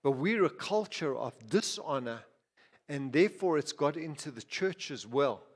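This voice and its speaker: A man's voice: a little high, expressive, normal speed. Zimbabwean-born male pastor preaching in a hall. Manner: conversational preaching with frequent restarts and asides. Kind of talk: sermon. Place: church. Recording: reverberant hall.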